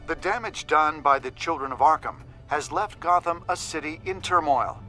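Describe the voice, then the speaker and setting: A television news reporter in a dramatic video game. His voice is fairly high and bright, with a crisp, grave bulletin delivery over faint music. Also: moderate pace. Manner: crisp, solemn newsreader delivery.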